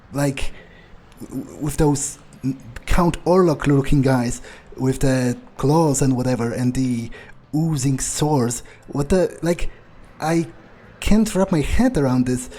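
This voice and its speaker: A man improvising in-character commentary on a video game, thinking aloud in a halting, sweary way with a husky, mellow voice. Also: medium pitch. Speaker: gaming video player.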